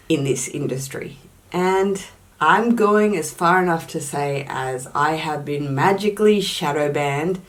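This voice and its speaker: Emphatic woman. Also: low-pitched. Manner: emphatic, repeats words for stress; uses "allegedly" often as a hedge. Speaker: YouTuber. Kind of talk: casual talk to camera.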